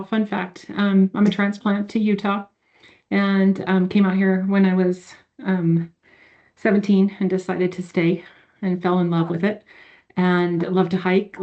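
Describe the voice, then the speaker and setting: A woman giving a hesitant, casual self-introduction over a video call, pausing often to find her words. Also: lowish voice, level tone. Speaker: state director. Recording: dull lo-fi audio.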